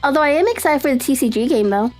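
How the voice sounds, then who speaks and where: Chatty high-voiced young woman streamer. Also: quick pace, faint music behind. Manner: rambling, excitable, lots of fillers and laughs. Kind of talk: casual live-stream chat.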